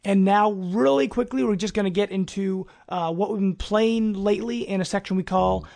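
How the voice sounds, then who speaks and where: A male podcast host with a notably high voice and plenty of vocal fry. He presents gaming news casually and conversationally, as informal talk between co-hosts. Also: moderate pace, darkish tone.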